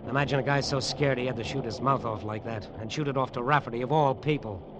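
A male actor plays a cynical radio noir killer. He talks in clipped slang with a mid-pitched, hard-boiled voice and cold menace, on a muffled recording with clear noise behind it. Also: fast, natural voice. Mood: wry, brooding. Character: cynical, menacing tough-guy killer who narrates. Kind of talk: old radio drama acting and noir narration.

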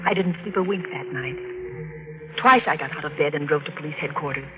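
An actress playing a tense, weary widow, terse and earnest, in 1940s radio mystery style. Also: female voice, sits low, brisk, natural voice. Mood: somber narration. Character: tense, weary widow recounting and confronting her suspect. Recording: dull old radio recording, music behind.

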